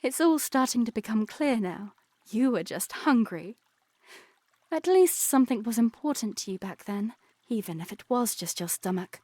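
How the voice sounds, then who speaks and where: A man's voice: high voice, lively intonation, normal speed, darkish tone, no echo, voice pushed up. A man voicing a teasing young princess in a fan audio drama. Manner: teasing, wry.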